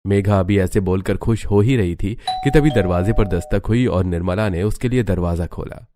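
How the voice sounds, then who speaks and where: A man narrating a scripted animated family story as a storyteller. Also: low-pitched, normal speed, over music.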